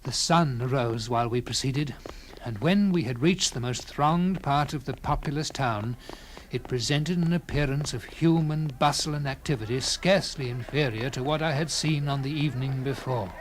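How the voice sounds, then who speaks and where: A man reading a classic literary story in a dramatic first-person style, with theatrical, deliberate phrasing and swelling emphasis. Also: mid-range voice. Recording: clearly noisy with background ambience.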